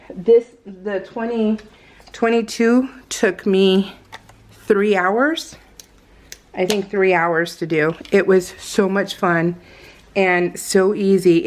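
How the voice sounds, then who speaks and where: A woman craft vlogger chatting casually in a giggly, meandering way as she goes through her scrapbook pages. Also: lowish voice. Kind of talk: casual rambling chat over scrapbook pages.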